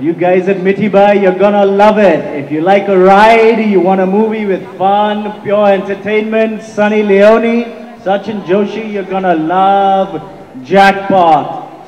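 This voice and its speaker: A man's voice: high-pitched, a little mellow. A man with an Indian accent, a native Hindi speaker, giving emphatic promotional stage talk with repeated words and some Hindi mixed in. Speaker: film director. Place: large hall at a promotional event. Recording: muffled PA in echoey hall.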